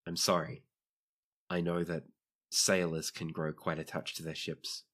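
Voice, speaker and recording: A man playing a calm, courteous doctor in a tabletop game, speaking in a measured, formal, reassuring way. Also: sits low. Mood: formal sympathy. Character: calm, courteous hospital doctor tending crash survivors.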